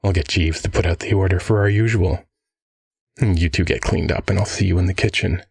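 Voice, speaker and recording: A gravelly crime-boss father, played by a man, speaks close and dry. He dotes breezily on his family and threatens just as casually, in the manner of an ASMR audio drama. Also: sits low, steady tone, a little fast, darkish tone, no room sound, exaggerated voice. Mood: cheerful, proud. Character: rich, affable, menacing crime-boss single dad of vampires.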